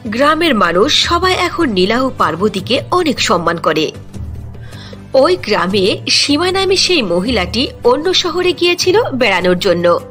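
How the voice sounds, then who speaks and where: High, animated woman storyteller. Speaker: narrator. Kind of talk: scripted fairy-tale cartoon narration. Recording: music behind the voice.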